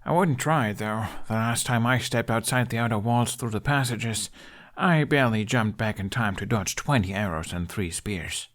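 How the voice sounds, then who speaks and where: Guy reading as a wry soft-spoken young fella in an audiobook story, earnest. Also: fairly low pitch, normal speed, lightly acted.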